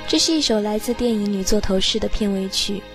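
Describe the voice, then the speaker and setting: A woman's voice: medium pitch, brisk. A woman speaking Chinese, presenting scripted narration as a radio host. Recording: music playing behind the voice. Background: background music.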